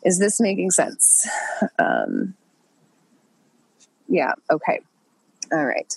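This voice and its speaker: A woman's voice: lowish voice, huge pitch swings, slow, vocal fry, darkish tone, slightly rough, dry recording, rough recording. A woman coaching an online group in a chatty, loose way, congested from a cold. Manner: chatty, loose, many fillers and restarts. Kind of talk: casual coaching talk.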